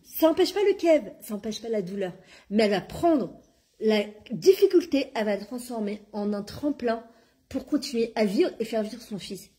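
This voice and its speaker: A woman's voice: a little high, moderate pace. Emphatic woman giving a religious class. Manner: animated, emphatic, exclaiming. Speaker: religious teacher.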